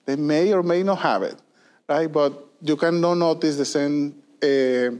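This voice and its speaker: A man's voice: on the high side, a little slow, a little mellow. A man, a guest answering interview questions earnestly, with many fillers and tag questions. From Venezuela. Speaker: guest, industry consulting leader.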